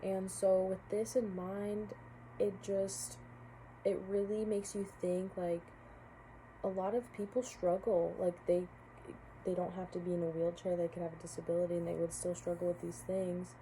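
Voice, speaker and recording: A young college-age woman talks through a class reflection in a low, very mellow, dark-toned voice. She hedges often, pausing on fillers and restarting her sentences, with faint noise behind her. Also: flat delivery, rough recording. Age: young adult. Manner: hesitant, many fillers and restarts. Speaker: college student. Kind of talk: casual spoken class reflection.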